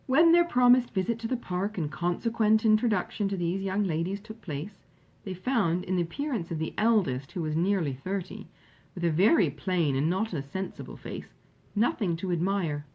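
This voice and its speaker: A woman reading a classic novel aloud as an audiobook narrator, in an expressive, measured storytelling manner. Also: lowish voice, slightly rough, lo-fi sound.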